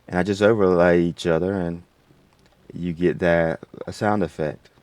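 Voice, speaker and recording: A man hosting a casual, unscripted software tutorial in a rambling, folksy way. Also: low-pitched, steady tone, normal speed, smooth, dry recording. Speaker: tutorial host.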